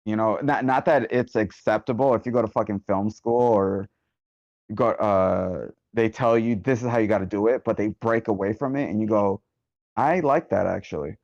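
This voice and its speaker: A man chatting casually and informally in an online meeting, phrasing things in a halting way. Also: on the low side, a little mellow, dry recording, highs cut off. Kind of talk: casual chat.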